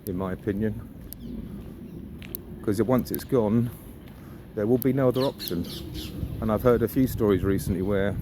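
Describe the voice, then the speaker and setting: A man giving a casual, reflective walking monologue as a vlogger, musing and posing rhetorical questions. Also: lowish voice. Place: outdoors on a riverside walk. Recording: outdoor ambient noise, birds and traffic.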